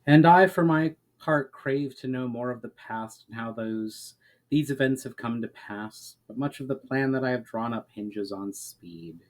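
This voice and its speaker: A man's voice: mid-range voice, close to an everyday voice. Guy reading as a lonely solemn water spirit, formal old fashioned wording, storybook fantasy. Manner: formal, measured, archaic phrasing. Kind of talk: amateur dramatic storybook reading.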